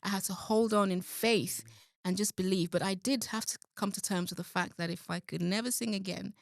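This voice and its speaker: A woman's voice: medium pitch, fairly even intonation, a little fast, creaky, no room sound, clean studio sound. A woman guest on an interview show, a gospel singer talking earnestly and reflectively about her music and faith. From London. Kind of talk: casual interview talk.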